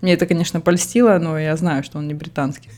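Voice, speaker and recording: A young woman co-hosting a casual podcast, animated and laughing as she tells stories. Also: low-pitched, fast. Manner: chatty, laughs often, animated storytelling. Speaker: podcast co-host.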